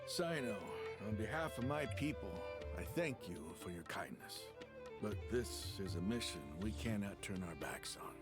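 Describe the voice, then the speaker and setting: An actor playing a proud, hard-bitten mercenary leader from the desert in a video game, earnest and resolute. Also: male voice, on the low side, animated, moderate pace, lightly acted. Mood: moved, grateful. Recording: game music behind. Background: game soundtrack music.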